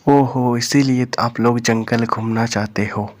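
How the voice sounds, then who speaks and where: A man's voice: medium pitch, steady tone, unhurried, a little mellow, lightly acted. A man voicing a humble, eager young servant in a cartoon story, speaking respectfully and earnestly. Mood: polite, earnest. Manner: deferential, apologetic, earnest.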